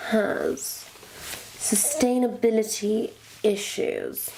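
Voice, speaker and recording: Woman acting a slow, creaky-voiced wicked witch. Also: mid-range voice, poor audio. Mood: conspiratorial, menacing. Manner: pompous, florid, savoring each phrase. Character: wicked, theatrical female witch, smugly toying with her captive. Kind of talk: suspenseful point-of-view roleplay monologue.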